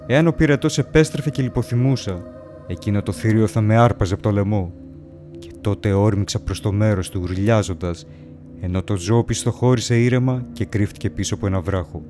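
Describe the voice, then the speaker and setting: A man's voice: lowish voice, close to an everyday voice. A man acting a haunted, feverish wanderer who tells his own horror story in a solemn, brooding, literary way. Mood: anxious, tense. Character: haunted, feverish male wanderer lost among ancient graves. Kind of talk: dramatic first-person horror story narration. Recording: loud music under the voice. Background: music.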